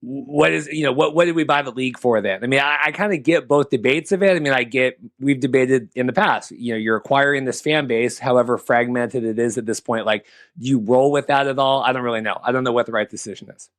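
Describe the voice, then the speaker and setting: A man's voice: medium pitch, fast, no room sound, studio quality. A man hosting a sports podcast, talking casually in a rambling, conversational way. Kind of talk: casual sports talk with banter.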